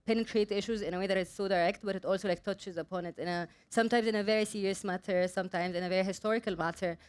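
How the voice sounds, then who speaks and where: A young woman from Egypt answers onstage questions about her design work. She speaks hesitantly, leaning on fillers and breaking into frequent laughter, her voice sitting at an even middle pitch. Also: very fast, clear, resonant tone. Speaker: designer and studio owner. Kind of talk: live onstage Q&A.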